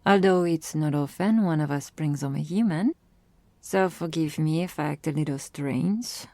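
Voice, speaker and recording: A woman playing a gracious, guarded vampire who speaks formally and softly in an ASMR roleplay. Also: sits low, moderate pace, no room sound. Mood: gracious, teasing. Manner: formal, soft-spoken, gently teasing.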